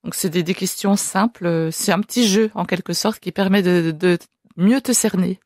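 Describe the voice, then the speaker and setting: A woman's voice: lowish voice, moderate pace, darkish tone, no room sound, studio quality. A woman hosting an interview, asking questions in a friendly way and laughing often. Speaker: interviewer host.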